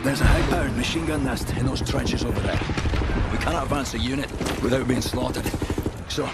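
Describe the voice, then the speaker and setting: A gruff Scottish officer acted by a man commands his troops in a war game. The voice is gravelly and mid-pitched, blunt and barking, under loud music and battle noise. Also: a little fast, lightly acted. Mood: commanding. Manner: barking, blunt military briefing. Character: gruff, commanding, foul-mouthed male army officer rallying troops. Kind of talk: video game cutscene acting.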